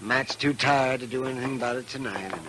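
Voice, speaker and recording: An actor playing a brisk, assured American town doctor in a radio western. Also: male voice, mid-range voice, music in the background, lightly acted. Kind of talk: 1950s radio western acting. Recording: noisy vintage 1950s radio broadcast.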